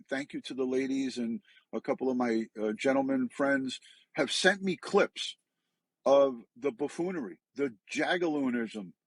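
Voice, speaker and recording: A man's voice: medium pitch, dry recording. A middle-aged man, a retired law enforcement officer, giving earnest, emphatic and disapproving opinion commentary.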